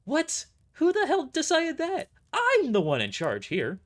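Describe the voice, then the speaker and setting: A man's voice: very high voice, wildly swooping pitch, measured pace, fairly bright tone, pitched above the performer's own voice, exaggerated voice. A man voicing a furious, foul-mouthed small woman squad leader in an indignant shriek for an audio drama. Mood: outraged. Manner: indignant, swearing, emphatic.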